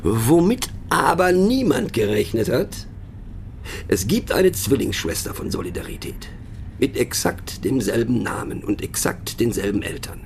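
An actor playing a pensive, mocking narrator in a radio play, musing rhetorically on abstract words and addressing the listener in wry asides. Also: male voice, mid-range voice, very animated, measured pace, slight background noise. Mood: mock enthusiastic.

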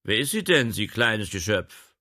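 A man voicing a domineering older male grain dealer, loud, bossy and blustering. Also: fairly low pitch, very animated, measured pace, dry recording, clean studio sound, voice pushed up, exaggerated voice.

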